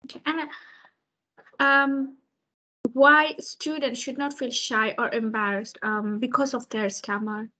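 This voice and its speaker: A young woman interviewing over a video call, asking questions hesitantly with many pauses. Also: on the high side, steady tone, pushed and bright, rough recording. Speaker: students' union officer interviewing. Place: room with large window.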